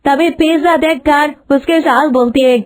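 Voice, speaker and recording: A female narrator telling a scripted story. Her voice is clearly high in pitch, and she delivers the lines as storytelling narration. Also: steady tone, moderate pace.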